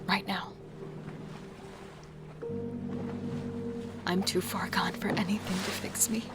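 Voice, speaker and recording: Woman playing a soft, remorseful female killer. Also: mid-range voice, little pitch movement, normal speed, natural voice. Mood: tender remorse. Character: remorseful woman serial killer, tender and resolved to die. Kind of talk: first-person horror audio drama narration. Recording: loud music bed.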